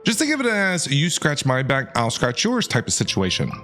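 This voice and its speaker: A man giving casual, playful and excitable live reactions as he streams a game. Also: medium pitch, moderate pace. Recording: game music behind the voice.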